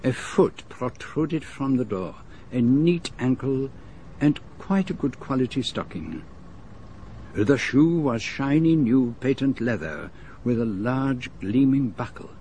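Radio drama: fussy, wry detective storyteller, unhurried delivery, some background noise. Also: male voice, medium pitch, lively intonation, mellow. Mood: relieved, wry. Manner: measured, dramatic, wryly self-amused storytelling. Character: fussy, dentist-fearing male detective narrating his own story. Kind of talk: radio drama narration and dialogue.

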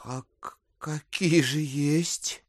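An actor playing a courteous, ingratiating gentleman traveller in an audio drama, polite and hesitant. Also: a little high, fairly even intonation, drawn-out words. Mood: inquiring. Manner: polite, hesitant, with many small interjections. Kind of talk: theatrical audio drama acting.

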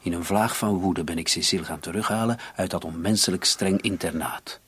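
Very husky, defensive suspect in his thirties. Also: sits low, a little fast, a bit bright. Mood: bitter confession. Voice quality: very husky, breathy. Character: defensive, irritated 37-year-old male murder suspect in love. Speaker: actor in a radio play. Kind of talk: Dutch-language radio drama dialogue.

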